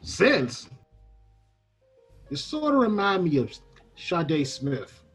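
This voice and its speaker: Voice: mid-range voice, very animated, extremely slow, a bit bright, husky, faint music behind, exaggerated voice. An actor playing a wary, streetwise small-time male informant in a comic old-time radio play, slangy and tough-talking. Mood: sly, reluctant.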